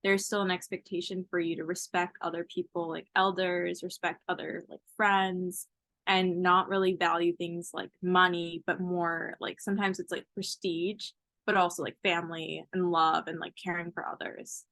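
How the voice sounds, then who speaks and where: A woman's voice: on the low side, level tone, normal speed, a bit bright, dry recording. A woman in her twenties, a college student from Ethiopia raised in Texas, answering interview questions casually with lots of fillers. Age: 20s.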